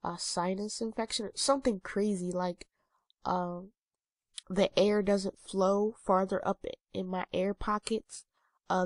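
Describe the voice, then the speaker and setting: A woman's voice: mid-range voice, no room sound. A young woman in her twenties with a stuffy, congested nose, chatting casually to her viewers with lots of ums and uhs. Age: 20s. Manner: rambling, many ums and uhs. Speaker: vlogger. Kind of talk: casual talking-head vlog.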